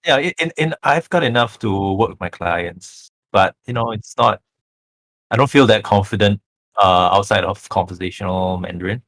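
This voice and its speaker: A man's voice: fairly low pitch, normal speed, a bit bright, no echo. A Singaporean man in a casual podcast conversation, speaking hesitantly and modestly about himself. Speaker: podcast guest or co-host. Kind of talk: casual chat.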